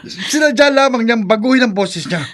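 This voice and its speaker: A man's voice: extremely high pitch, animated, normal speed, heavy background noise. An actor in a radio drama playing a guilty, evasive man who stammers and pleads his innocence. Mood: nervous, pleading. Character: guilty, evasive brother-in-law denying a killing. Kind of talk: melodramatic radio drama acting.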